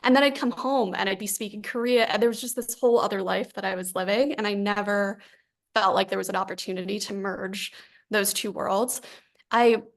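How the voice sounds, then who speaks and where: A young adult woman from New Jersey, a company founder talking casually in an interview, chatty and animated, often quoting her own past thoughts. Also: mid-range voice, brisk, gravelly. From New Jersey, USA. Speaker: founder and CEO, guest. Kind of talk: conversational interview.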